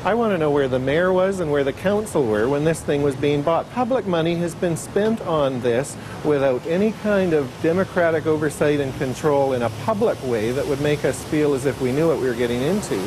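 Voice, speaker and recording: A man's voice: on the high side, animated, brisk, hardly any pauses. A man giving a critical press statement as an advocate. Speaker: civil liberties advocate. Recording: noisy outdoor urban ambience.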